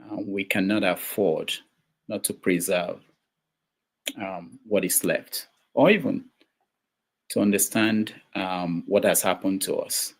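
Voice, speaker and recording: A man with a very deep, low voice hosts a talk show from a studio. He talks in a halting way, full of ums and restarts as he frames his questions. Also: vocal fry. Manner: hesitant, many fillers and restarts. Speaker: show host. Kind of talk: casual talk show hosting.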